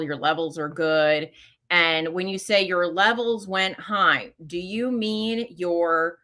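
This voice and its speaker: A woman's voice: lowish voice, pushed and bright. A middle-aged woman hosting a live question-and-answer session, talking casually with many fillers and self-corrections. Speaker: host answering listener questions.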